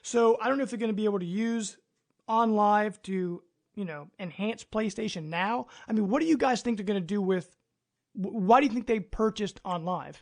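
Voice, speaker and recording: A man's voice: high voice, moderate pace, creaky. A man hosting a casual podcast, talking in an enthusiastic, informal way. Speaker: podcast host. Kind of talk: casual gaming podcast chat.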